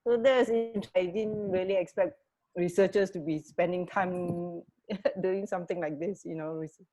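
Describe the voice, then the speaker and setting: A woman co-hosting a radio show in casual chat, halting as she searches for words. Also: on the low side, steady tone, mellow.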